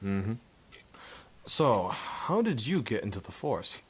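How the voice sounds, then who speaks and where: A man's voice: fairly low pitch, very animated, slow, slightly rough, heavily acted. A man playing a breezy, wisecracking 1950s photographer and war veteran in a noir radio drama, with a glib, slangy, familiar manner. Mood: easygoing.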